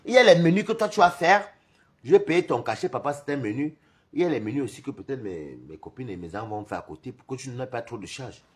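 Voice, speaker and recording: A man's voice: mid-range voice, fast. A man from Cameroon with a Cameroonian French accent, chatting on a live stream in a rambling, gossipy way. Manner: rambling, animated, gossipy, laughs often. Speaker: livestream host. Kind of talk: live-stream chat with viewers.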